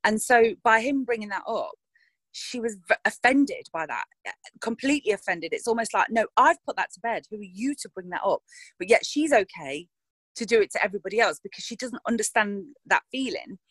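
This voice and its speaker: A woman's voice: mid-range voice, animated, a little fast, no echo. An actress talking frankly in a casual interview, thinking aloud and restarting her sentences.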